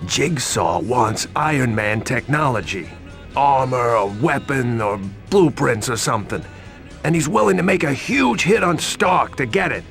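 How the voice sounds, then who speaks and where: An actor playing a nervous, streetwise male informant in a video game, with a creaky voice and hesitant, animated delivery. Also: mid-range voice, a little slow, soft background music. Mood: urgent, uneasy. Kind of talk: video game cutscene acting.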